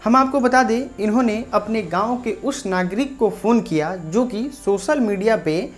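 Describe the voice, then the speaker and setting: A woman's voice: on the high side, expressive, normal speed. A woman presenting a local news report, narrating and speaking directly to viewers. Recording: faint music bed behind voice.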